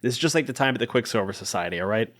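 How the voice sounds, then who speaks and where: A man's voice: fairly low pitch, animated, racing, fairly bright tone. A man playing a rattled, kind-hearted adventurer in a tabletop game, talking in a hesitant, informal, soothing way. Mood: reassuring.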